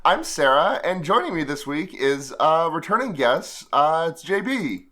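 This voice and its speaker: A man with a hoarse, shot voice hosting a casual, joking podcast in an animated, self-deprecating way. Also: medium pitch, unhurried. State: hoarse, strained voice from shouting. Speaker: podcast host. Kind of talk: casual comedic podcast chat.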